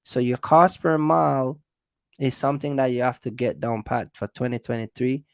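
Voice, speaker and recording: A man's voice: medium pitch, fairly even intonation, normal speed, creaky, dry recording, clean studio sound. A man hosting a casual livestream, giving business advice straight to viewers in an emphatic, repetitive way. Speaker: host of a trucking business livestream.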